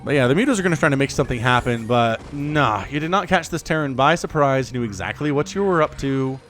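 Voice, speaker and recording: A man in his thirties giving solo play-by-play commentary on a strategy game match, chatty and excitable with jokey asides. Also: mid-range voice, fast talker, faint music behind. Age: 30s. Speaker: game caster.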